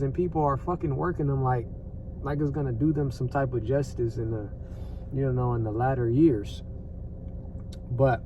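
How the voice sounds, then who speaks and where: An American man with a slightly higher-than-average voice talks off the cuff like a vlogger commenting on the news. He is conversational and repetitive, using slang and swearing freely. The recording is a little distant, with faint background noise. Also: vocal fry, highs cut off.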